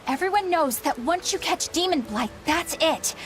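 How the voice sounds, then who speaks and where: Uma atriz interpretando uma jovem exorcista sincera, educada e formal. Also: female voice, very high-pitched, expressive, normal speed, a little hiss or hum. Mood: earnest. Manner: polite, formal, earnest. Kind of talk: game dub acting.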